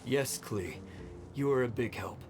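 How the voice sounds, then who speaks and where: A man's voice: mid-range voice, a little slow, loud music behind the voice, close to an everyday voice. A man voicing a friendly, chatty cart ride operator in a video game, with an inviting manner. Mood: kind. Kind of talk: English video game voice acting.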